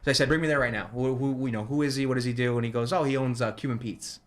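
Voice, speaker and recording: A man from New Jersey talks quickly and at a middle pitch, telling a story in a relaxed chat. He slips into the voices of the people he quotes as he goes. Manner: fast, animated retelling with quoted dialogue. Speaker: guest, ice cream shop owner. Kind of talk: casual storytelling.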